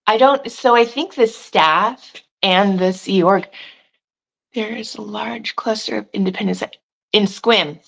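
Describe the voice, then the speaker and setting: A woman in casual conversation, thinking aloud with restarts and asides, who says she has a loud voice. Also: medium pitch, measured pace, creaky, low-quality recording. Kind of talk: casual chat.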